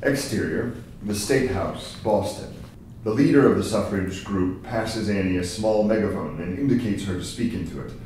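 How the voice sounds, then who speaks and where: A man's voice: on the low side, moderate pace, darkish tone. A man reading screenplay stage directions as scripted narration at a table read. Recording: very echoey room, distant mic, faint noise.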